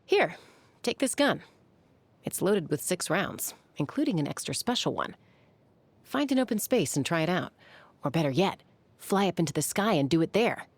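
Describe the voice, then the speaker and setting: A woman playing a tough, composed young warrior and peacemaker in a video game, earnest and dryly playful, with a low, husky voice. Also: lively intonation, moderate pace, no echo. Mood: playful, warm. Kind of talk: scripted video game character voice lines.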